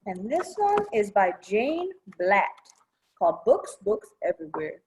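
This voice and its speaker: Female children's storytime reader, highly expressive melodic delivery, unhurried pace, simple child-directed explanations. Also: a little hiss or hum, poor audio. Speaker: children's librarian, storytime presenter. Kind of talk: children's storytime read-aloud.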